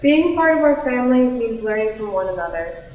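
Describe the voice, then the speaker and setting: A woman delivers scripted recitation as a stage performer. Her voice is somewhat high, with long hall reverb and a distant microphone. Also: very animated, fairly quick, a little mellow, muffled recording. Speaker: student performer in readers theater.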